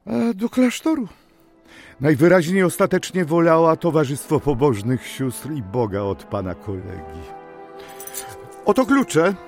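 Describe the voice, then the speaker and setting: A cold-hearted, scheming innkeeper father in a historical radio drama. His voice is gravelly and moves widely in pitch, with menace under mock courtesy. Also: big pitch swings, unhurried, soft background music, exaggerated voice. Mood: feigned politeness, smug.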